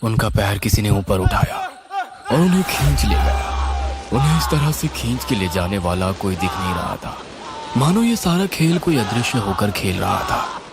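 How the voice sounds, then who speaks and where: A man's voice: lowish voice, sing-song, normal speed. A man narrating a scripted horror story in a suspenseful, theatrical way. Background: music.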